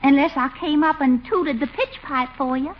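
An actress playing a sweet, coy, playful sweetheart in old radio comedy style. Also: female voice, high-pitched, normal speed, close to an everyday voice. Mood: coy, understanding. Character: sweet, coy, playful woman being courted. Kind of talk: 1940s-50s radio comedy acting. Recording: dull, faintly noisy old radio recording.

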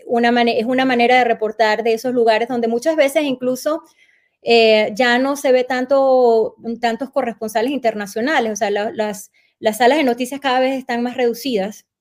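A Venezuelan woman in her forties, a journalist being interviewed, recalling her path in a reflective storytelling way and pausing to search for words. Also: a little high. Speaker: guest journalist. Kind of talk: relaxed conversational interview.

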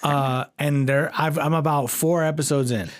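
A man's voice: on the high side, fairly even intonation, moderate pace. A man co-hosting a podcast in casual chat, blunt and jokey, with plenty of swearing. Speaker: podcast co-host and poet.

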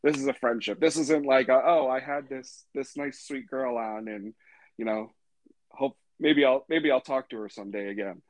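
A man's voice: mid-range voice, normal speed, no room sound, poor audio. A man hosting a podcast in a laid-back, casual way, swearing freely and saying "you know" a lot. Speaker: podcast host.

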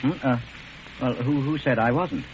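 An actor playing a genteel, professorial doctor turned criminal, polite and wordy, in a 1940s radio drama. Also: male voice, mid-range voice, normal speed, darkish tone, close to an everyday voice. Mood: hesitant, curious. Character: genteel, erudite male doctor posing as cool criminal. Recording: dull, noisy old radio recording.

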